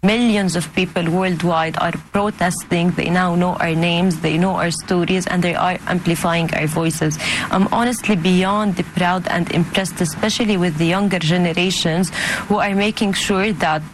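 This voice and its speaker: A woman's voice: on the low side, moderate pace, slight background noise, poor audio. Earnest woman. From Gaza, Palestine. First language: Arabic. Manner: earnest, heartfelt, steady. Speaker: guest author and journalist. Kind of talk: interview answers and poetry reading.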